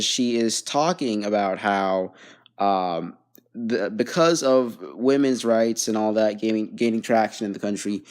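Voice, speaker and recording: A man's voice: medium pitch, a little mellow. A man from Bangladesh hosting a casual news commentary, rambling with lots of fillers and restarts. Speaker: host.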